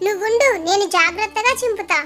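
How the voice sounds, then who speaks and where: A woman voicing playful, bickering young children in a cartoon story, excitable, teasing and singsong. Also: very high voice, quick pace, loud music behind the voice. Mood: eager, playful. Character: squabbling young children, playful brother and sister.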